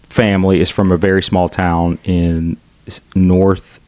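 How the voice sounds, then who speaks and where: Middle-aged man, Southern accent, lowish voice, dry close sound, outgoing and chatty. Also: flat delivery, measured pace, a little mellow, no room sound. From United States. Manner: outgoing, chatty, laughs while telling stories. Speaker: podcast guest. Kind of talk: casual conversational storytelling.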